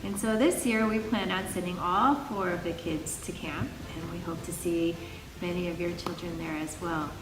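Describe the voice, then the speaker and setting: A woman's voice: lowish voice, fairly even intonation, moderate pace. A middle-aged woman from the United States with an American accent, giving an informal testimonial as a parent. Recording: roomy echo, distant microphone.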